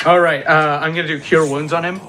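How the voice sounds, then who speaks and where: Higher-pitched man playing a tabletop game. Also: level tone, fairly quick, a crowd in the background. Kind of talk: casual game-table chat.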